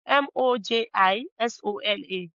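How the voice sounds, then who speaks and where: A woman's voice: on the high side, a little slow, a bit bright, no room sound, muffled recording. A woman with a Nigerian accent, a native Yoruba speaker, talking casually as an interview guest. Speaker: interview guest, fashion brand founder.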